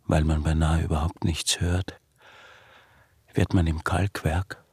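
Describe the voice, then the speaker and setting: Low gravelly obsessive recluse for radio drama, brooding, breathy, really slow and halting. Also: male voice, level tone, a little mellow, dry recording, exaggerated voice. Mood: hushed, intent. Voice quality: husky, gravelly, breathy, often near whisper. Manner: halting, brooding, insistent repetition. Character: obsessive male recluse tormented by his acute hearing. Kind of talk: intimate radio drama monologue.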